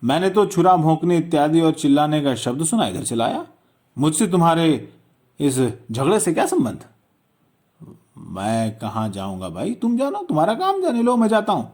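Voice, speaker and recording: Man playing a plaintive poor man, swinging pitch. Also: huge pitch swings, moderate pace, a little mellow. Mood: startled, indignant. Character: Ghisu, poor melancholy man, baffled and resigned.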